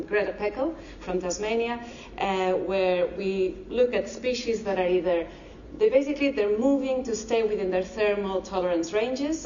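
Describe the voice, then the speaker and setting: A woman's voice: medium pitch, a little mellow, slight background noise. Spanish-accented woman lecturing in a roomy hall. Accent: Spanish, from Barcelona. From Spain. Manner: deliberately slow, careful explanations. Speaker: marine ecology lecturer. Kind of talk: public science lecture. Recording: echoey lecture hall, distant dull mic.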